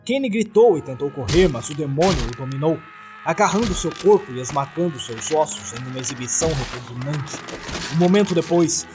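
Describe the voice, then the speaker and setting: A man with a Brazilian accent narrating a scripted horror story. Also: a little high.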